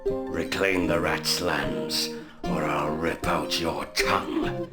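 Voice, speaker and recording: Game villain warlord: bottomless low register, slow and commanding, cold threats. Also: male voice, steady tone. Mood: menacing anger. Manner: curt, threatening. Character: menacing, commanding male tyrant warlord with cold temper. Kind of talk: video game cutscene acting. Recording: music behind the voice.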